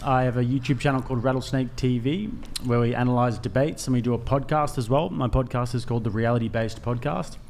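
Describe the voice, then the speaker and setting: A man's voice: mid-range voice, steady tone, fast talker, few pauses, darkish tone, a little hiss or hum. A man in his twenties from Australia, a panelist plugging his channel in a dry, matter-of-fact way. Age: 20s. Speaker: co-host panelist, YouTuber.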